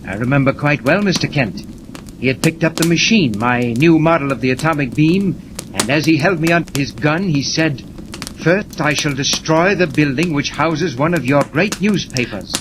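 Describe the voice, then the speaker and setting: Actor as formal, grave scientist, noisy old radio. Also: male voice, on the high side, expressive, close to an everyday voice. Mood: calm, portentous. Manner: formal, measured, portentous. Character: courteous, grave male scientist inventor of doomsday weapon. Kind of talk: 1940s radio serial acting. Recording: noisy vintage 1940s radio recording.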